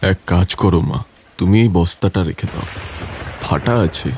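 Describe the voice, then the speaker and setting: Man playing a deep-voiced humble old beggar. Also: normal speed, background noise, lightly acted. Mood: kindly. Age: older. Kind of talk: cartoon story voice acting.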